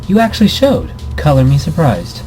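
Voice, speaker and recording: A man's voice: fairly high pitch, sing-song, moderate pace, dark tone, soft background music, voice pushed up, low-quality recording. A man voicing a blunt, demanding young female pro gamer in a curt, dry way, reading from a visual novel game. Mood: dry, mocking. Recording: background noise behind the voice.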